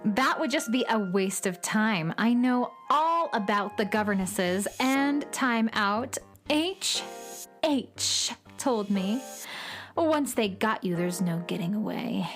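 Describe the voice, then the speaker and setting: A woman's voice: fairly high pitch, a bit bright. A woman playing a petulant, bratty girl troublemaker in a children's radio comedy, sneering and taunting with sarcasm. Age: child figure.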